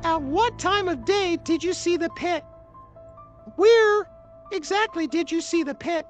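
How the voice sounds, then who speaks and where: A woman's voice: extremely high pitch, measured pace, soft background music, voice pushed up. A woman voicing an eager, inquisitive little male lime character in a children's storybook. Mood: concerned, inquisitive.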